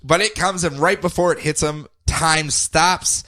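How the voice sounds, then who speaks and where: A man's voice: on the high side, measured pace, pushed and bright, no echo. A man co-hosting a comic book podcast, recapping issues in a casual, jokey way and slipping into goofy character voices now and then. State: groggy from medicine. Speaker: podcast co-host.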